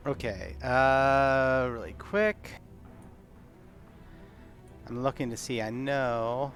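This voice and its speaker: A man of average pitch plays a tabletop roleplaying game. He talks casually, working out his turn aloud, with faint music in the background. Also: animated, drawn-out words, a bit bright. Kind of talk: casual chat while playing. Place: live online game stream.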